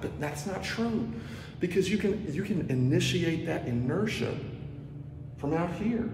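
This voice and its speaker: A man in his thirties teaching a movement practice while filming himself on a phone in a stairwell, talking slowly and dropping to murmurs. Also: a little high, gravelly. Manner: slow, drawn-out, murmured asides, drops to near whisper. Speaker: movement instructor. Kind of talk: walking demonstration to phone camera. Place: indoor stairwell of a building. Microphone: phone camera held at arm's length. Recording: echoey stairwell with noisy ambience.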